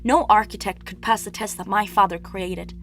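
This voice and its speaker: A woman's voice: medium pitch, steady tone, normal speed, fairly bright tone, lightly acted. Woman acting Ariadne, a wistful, proud princess. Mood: reflective. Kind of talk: poetic theatrical monologue. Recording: music under the voice.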